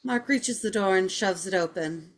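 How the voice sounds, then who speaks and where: A woman's voice: medium pitch, moderate pace. A woman reading stage directions as scripted narration for a table read.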